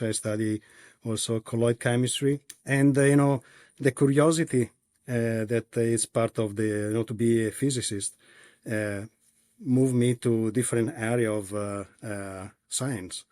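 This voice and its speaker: A man from Italy with an Italian accent, answering interview questions as a company executive in a halting way with many pauses and restarts. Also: fairly low pitch, steady tone, darkish tone, no room sound, clean studio sound.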